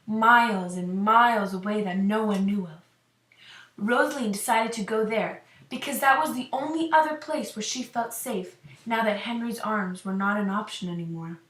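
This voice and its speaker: A woman's voice: mid-range voice, fairly bright tone. A young woman reading a scripted fairy tale aloud as storyteller. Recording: roomy echo, distant microphone.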